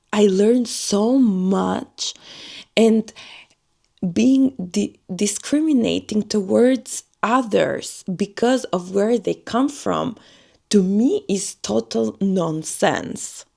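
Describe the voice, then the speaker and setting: A woman's voice: mid-range voice, gravelly, a little mellow. A woman from Albania, a native Albanian speaker, talking earnestly in a personal vlog and searching for her words. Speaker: vlogger. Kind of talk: personal reflective monologue.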